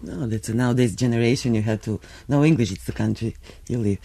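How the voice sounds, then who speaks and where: Serbian-accented male radio host, warm dark tone; formal, halting English. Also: medium pitch. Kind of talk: interview hosting.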